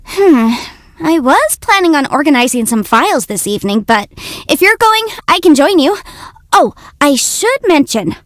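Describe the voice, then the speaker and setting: A woman's voice: high voice, sing-song, normal speed, no room sound, studio quality. A woman voicing a cute, confident young female legal adviser in a video game, youthful and articulate with a theatrical, self-assured delivery. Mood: friendly, chatty. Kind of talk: video game character voice lines.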